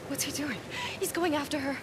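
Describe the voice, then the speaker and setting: A woman's voice: high-pitched, steady tone, brisk, natural voice. An actress playing a tough, blunt, determined young woman in a video game cutscene. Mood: urgent. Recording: noisy, with rain in the background.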